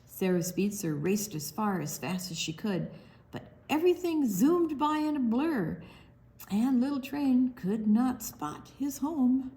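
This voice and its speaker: A woman reading a picture book aloud to young children, animated, pausing to explain things simply and ask questions. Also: a little high, a little hiss or hum. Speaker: children's story time reader.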